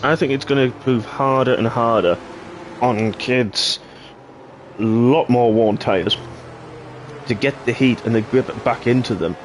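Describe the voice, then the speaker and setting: A man giving live play-by-play commentary on a sim racing league, hoarse and clearing his throat after losing his voice. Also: medium pitch, a little slow, pauses often, slight background noise, low-quality recording. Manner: excitable play-by-play, rising sharply at incidents. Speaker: race commentator.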